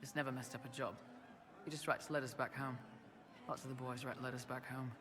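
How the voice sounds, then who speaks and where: A man's voice: medium pitch, level tone, a little fast, noisy background, close to an everyday voice. An actor playing a blunt, loyal male mercenary lieutenant in a fantasy video game cutscene. He talks in plain, no-nonsense soldier language.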